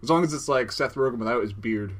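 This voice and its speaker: A man's voice: medium pitch, quick pace. A young man co-hosting a podcast with casual, crude and teasing comedic banter. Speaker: podcast co-host.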